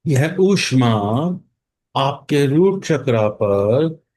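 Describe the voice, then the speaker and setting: An Indian man leading a guided meditation as instructor, speaking calmly in short phrases with long pauses between them. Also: medium pitch. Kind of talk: guided meditation, mixing Hindi and English.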